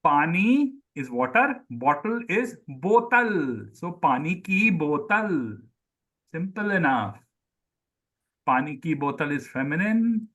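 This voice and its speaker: A man with an Indian accent and a somewhat high voice leads an online language lesson. A native Sindhi speaker, he repeats words for his pupils and explains them as he goes. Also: big pitch swings, slow pace, a bit bright. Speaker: Hindi teacher.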